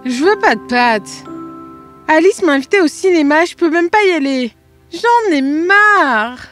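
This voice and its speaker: A woman's voice: high-pitched, expressive, unhurried, a bit bright, faint music behind. A woman voicing a frustrated teenage girl in a cartoon story, complaining and exclaiming. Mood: sulky. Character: frustrated, whiny teenage girl struggling with grown-up chores.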